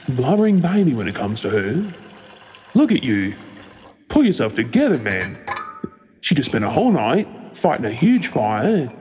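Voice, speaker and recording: An Australian man playing a frantic, tender boyfriend fussing over his partner in an intimate audio role-play, stammering with emotion, his voice husky. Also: a little high, a little mellow. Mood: self-scolding.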